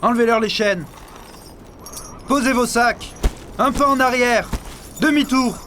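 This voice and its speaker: A high-pitched, sharp and forceful military guard, barks impatient, clipped orders at prisoners. It is audio drama, with noisy outdoor ambience around the voice. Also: male voice, a little slow, exaggerated voice. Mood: barking, impatient. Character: barking, impatient male army guard commanding prisoners.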